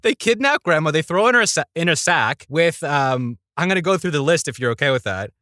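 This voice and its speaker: A man with a bright, forward voice pitched a little high, recorded dry and close. He is a podcast guest talking casually, with a playful, tongue-in-cheek bent for storytelling. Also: lively intonation, a little fast, no echo. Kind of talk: casual chat with light storytelling.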